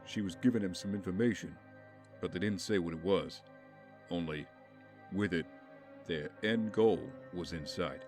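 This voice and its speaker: A man as a deep-voiced, somber confidant. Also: moderate pace, long pauses, a little mellow, natural voice. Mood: grave. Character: somber, troubled male confidant of a vigilante. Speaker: voice actor in an audio drama. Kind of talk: dramatic audio drama acting. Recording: faint music behind the voice.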